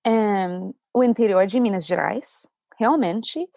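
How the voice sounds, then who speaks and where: A woman's voice: mid-range voice, animated, slow, warm tone, no echo, clean studio sound. A woman, an English teacher, speaking as a podcast guest in a friendly, reflective way. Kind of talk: casual conversation.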